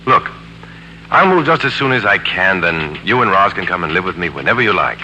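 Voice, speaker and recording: An American actor playing a smooth, sardonic, tormented businessman and father in a 1940s radio drama. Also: sits low, sing-song, brisk, dull audio. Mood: tender, soothing. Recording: humming, noisy 1940s radio recording.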